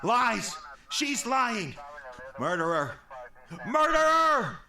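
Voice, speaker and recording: Unstable accusing man, sharp forceful delivery, very animated pitch, faint noise, audio drama. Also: drawn-out words, heavily acted. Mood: frantic, accusing. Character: unstable, accusing male ex-partner, frantic and vindictive.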